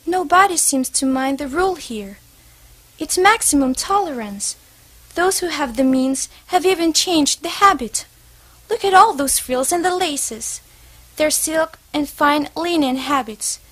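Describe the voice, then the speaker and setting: Lady as an earnest disappointed nun in a stage play, really high voice. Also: expressive, a little slow, fairly bright tone, no room sound. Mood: earnest, disapproving. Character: earnest, disillusioned poor nun. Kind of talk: amateur stage play acting.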